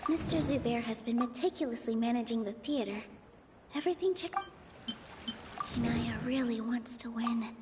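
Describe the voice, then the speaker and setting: Earnest young woman, high voice. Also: normal speed, long pauses, music in the background. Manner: earnest and persuasive, rehearsing arguments. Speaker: game character, dancer. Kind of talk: voiced game dialogue.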